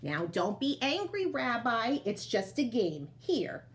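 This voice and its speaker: A woman's voice: on the high side, very animated, takes it slowly, slight background noise. A woman voicing a kindly, chatty old grandmother who fusses over and coaxes her guest in a storybook tale. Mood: kindly, fussing. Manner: fussing, coaxing, exclaiming.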